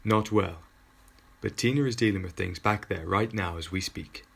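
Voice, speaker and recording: A man plays a courtly older lord and father in an audio drama. The voice is deep and full of gravelly creak. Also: moderate pace, fairly bright tone, full character voice. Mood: sombre. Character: Edward, older, courtly nobleman and proud father. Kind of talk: scripted audio drama acting.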